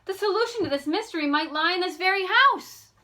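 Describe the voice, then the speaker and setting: A woman's voice: very high-pitched, lively intonation, a little fast, sharp tone, pitched above the performer's own voice, exaggerated voice. A woman voicing a keen, kindly teenage girl detective in an audiobook, eager and determined. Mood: excited. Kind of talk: audiobook character voice.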